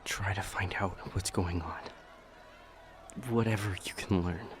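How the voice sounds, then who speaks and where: Low-voiced, shaken demon lover, acted by a man. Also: fairly even intonation, background noise. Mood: urgent, desperate. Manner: halting, stammering, breathy urgent delivery. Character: shaken, devoted male demon lover facing disaster. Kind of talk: intense dramatic audio roleplay acting.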